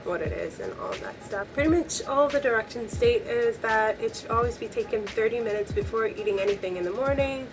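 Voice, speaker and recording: A young woman with a voice hoarse from a cold, chatting upbeat to camera from a small bedroom. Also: on the high side, expressive, brisk, few pauses, music in the background. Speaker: beauty vlogger. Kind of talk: casual talk to camera.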